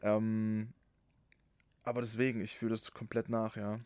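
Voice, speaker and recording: Animated podcast host with slight Saxon accent. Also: male voice, on the low side, normal speed, clear, resonant tone, no echo. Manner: animated, emphatic, swears casually. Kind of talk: casual podcast chat.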